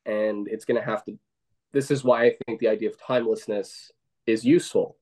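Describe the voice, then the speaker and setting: A man's voice: fairly low pitch, steady tone, brisk, darkish tone. A man hosting a casual discussion, conversational, often tagging "right?" and "I mean".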